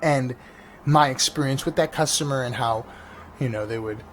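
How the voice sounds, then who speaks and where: Rambling New York comedian with a janky mic. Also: male voice, mid-range voice, normal speed. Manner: animated, rambling with frequent restarts and fillers. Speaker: stand-up comedian and podcast host. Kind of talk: casual rambling vlog podcast. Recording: faint engine noise behind. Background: engine noise.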